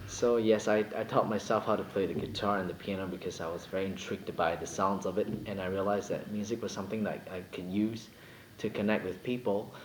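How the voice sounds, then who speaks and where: Young man from Singapore, low-pitched singer guest, joking and rambling, roomy echo with faint background hum. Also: steady tone, brisk. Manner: playful, jokey, rambling with many fillers. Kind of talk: casual interview chat.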